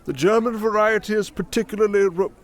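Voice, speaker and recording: A man puts on a pompous male nature documentary narrator for a comic roleplay. The voice is pitched well up for a man. Each phrase is measured and solemn, with mock grandeur. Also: natural voice. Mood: solemn, mock-awed.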